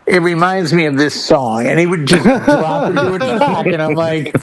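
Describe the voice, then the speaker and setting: A man chatting casually on a panel in an animated, joking, exclamatory way. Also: fairly high pitch, moderate pace, over a noisy crowd. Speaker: podcast panelist and DJ. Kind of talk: casual chat.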